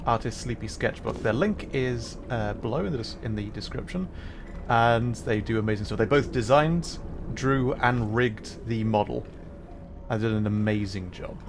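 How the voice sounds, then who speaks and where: A man's voice: mid-range voice, normal speed, background noise. A man giving chatty, casual commentary while streaming a game, laughing and humming along. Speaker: VTuber streamer.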